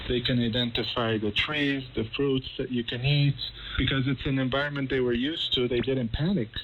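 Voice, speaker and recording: A man's voice: mid-range voice, steady tone, moderate pace, lo-fi sound. Male journalist explaining news over noisy shortwave. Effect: shortwave radio. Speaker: journalist interviewed as correspondent. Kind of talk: explanatory live news interview. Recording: faintly noisy shortwave radio broadcast.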